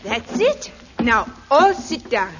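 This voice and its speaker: Through heavy noise and a dull old radio sound comes a bossy woman of the house. Her voice is high and lively, with a brisk, commanding sitcom manner. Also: heavily acted. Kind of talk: 1950s British radio sitcom acting. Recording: noisy, muffled 1950s radio recording.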